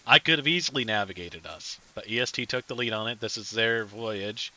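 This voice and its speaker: Streamer type: a low-pitched man with a very forceful, tense tone, rambling easily through gaming chat. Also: brisk, low-quality recording. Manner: rambling, chatty. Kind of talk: casual gaming chat with teammates.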